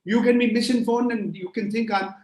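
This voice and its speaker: A man's voice: very high voice, steady tone, fairly quick, a little mellow, roomy sound. A man in his sixties answering audience questions in a lecture, emphatic and rhetorical, piling up phrases in lists. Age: 60s. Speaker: guest speaker. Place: remote call from a room.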